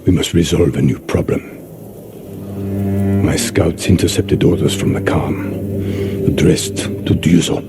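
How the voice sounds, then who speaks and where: A stern, pragmatic older samurai lord in a game drama. His deep voice is formal and authoritative, set against loud ambient noise. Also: fairly even intonation, a little slow, pauses often. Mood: businesslike, stern. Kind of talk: dramatic game cutscene acting.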